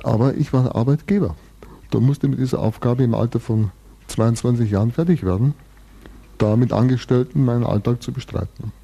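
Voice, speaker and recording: Dark-voiced man in his sixties, reflective. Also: medium pitch, a little fast, gravelly, husky. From Bavaria, Germany. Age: 60s. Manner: thoughtful, long-winded, restarts and fillers, dry humor. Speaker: interviewee. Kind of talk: reflective personal storytelling in a radio interview.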